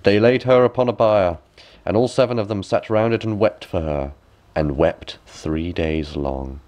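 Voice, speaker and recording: A man's voice: low-pitched, measured pace, darkish tone. A man reading a fairy tale as a bedtime story, with dramatic, careful phrasing.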